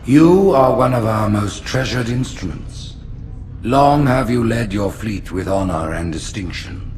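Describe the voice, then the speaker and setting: A grandiose ancient alien high priest. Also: male voice, animated, a little slow, background noise. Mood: judging. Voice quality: solemn, grandiose. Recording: game cutscene with loud music.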